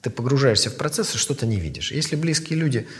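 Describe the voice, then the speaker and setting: A man in his fifties, an author, giving thoughtful interview answers in a measured way with pauses and fillers. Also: mid-range voice, animated, big-room sound. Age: 50s.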